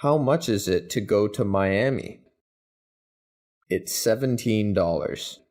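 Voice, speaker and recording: A man reading English practice questions and answers, each said twice in an even, deliberate way. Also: on the low side. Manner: even, deliberate, carefully spaced delivery. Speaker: English teacher. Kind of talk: slow scripted phrase drills, each said twice.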